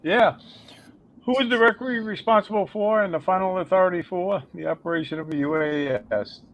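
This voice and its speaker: Upbeat guy co-hosting a live stream, kinda high voice, bit of hiss under it. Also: a little fast, fairly bright tone. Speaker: co-host. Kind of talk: casual chat and quiz reading.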